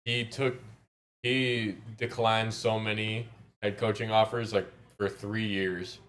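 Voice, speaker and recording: A man's voice: fairly low pitch, level tone, takes it slowly, fairly bright tone. A man giving live game commentary in a casual, chatty way. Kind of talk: casual sports chat.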